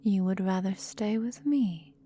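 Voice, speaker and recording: A woman playing a possessive, cruel, seductive vampire mistress in a breathy whispered ASMR roleplay, with slow, teasing, menacing phrasing. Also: medium pitch, warm tone. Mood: mocking, teasing.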